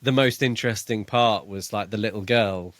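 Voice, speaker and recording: A man's voice: lowish voice, moderate pace, fairly bright tone, no echo. A man co-hosting a podcast, casually discussing a book in a chatty, jokey way. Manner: chatty, jokey, prone to laughing. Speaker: podcast co-host.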